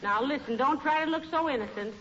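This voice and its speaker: A woman's voice: high voice, fast, fairly bright tone. A woman with an American accent playing a patient, wry middle-aged wife with a dry teasing manner in a 1940s radio comedy. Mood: teasing, accusing. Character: patient, wry wife who gently needles her husband. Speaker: comedy co-lead actor. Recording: dull, hissy old-time radio recording.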